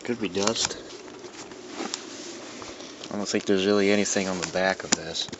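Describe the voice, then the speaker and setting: A man with a fairly low voice talks in a loose, unscripted way, as if half to himself while showing off a collection. His delivery rambles and muses. The recording is dull and lo-fi, with clear noise behind him. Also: normal speed. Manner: rambling, musing to himself as he handles things. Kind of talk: casual unscripted show-and-tell. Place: indoors in a dimly lit room.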